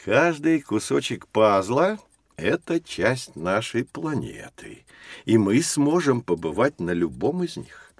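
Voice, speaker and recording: Lively, avuncular professor in children's drama. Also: male voice, mid-range voice, slow, a little hiss or hum. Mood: encouraging, intriguing. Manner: avuncular, expressive, explanatory. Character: kindly, enthusiastic male professor teaching two children. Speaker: professor, teacher. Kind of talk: scripted educational audio drama for children.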